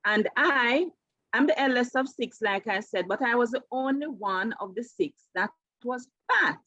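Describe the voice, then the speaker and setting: A woman's voice: fairly high pitch, measured pace, sharp tone, no echo, dull audio. A woman in her fifties with a Jamaican accent, telling her personal story conversationally as an interview guest. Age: 50s. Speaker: interview guest, keto coach.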